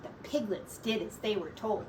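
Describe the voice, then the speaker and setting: A woman teacher reading a children's story aloud with expressive, deliberate dramatic emphasis. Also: mid-range voice. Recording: distant mic with faint room noise.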